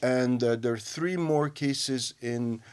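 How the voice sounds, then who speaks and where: A man from Armenia with an Armenian accent, co-hosting a news discussion and talking haltingly with many fillers and restarts. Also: medium pitch, darkish tone, clear, resonant tone. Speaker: radio host.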